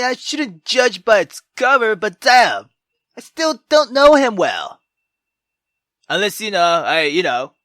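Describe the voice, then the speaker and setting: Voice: medium pitch, sharp tone, dry recording, studio quality. A game player giving casual let's play commentary, hesitant and rambling, with swearing and music behind the voice. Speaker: gaming video host.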